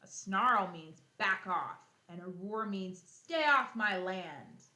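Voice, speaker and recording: A woman teacher reading a children's nonfiction book aloud, expressively, pausing to explain and think out loud for young pupils. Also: medium pitch, expressive, roomy sound. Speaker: elementary school teacher.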